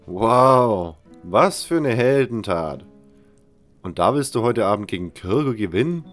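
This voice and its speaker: A man's voice: lowish voice, animated, a little slow. A seasoned male sword mentor in a fantasy audio drama, voiced by a male reader. He is instructive and patient, with a dry, mocking, slightly condescending edge. Music plays behind him. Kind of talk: fan audio drama character reading.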